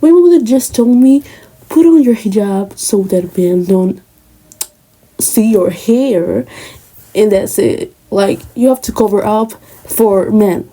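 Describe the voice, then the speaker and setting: Earnest young woman, mellow voice. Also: mid-range voice, animated, slow, low-quality recording. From Spain, Moroccan family. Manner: earnest, conversational, many fillers and asides. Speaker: vlogger. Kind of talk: personal talk to camera.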